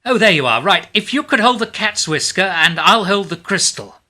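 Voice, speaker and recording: A man's voice: sits high, big pitch swings, normal speed, pushed and bright, full character voice. A man playing a modest, genial, wry English playwright in a radio play. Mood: hurried, excited. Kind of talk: radio drama acting and reminiscence.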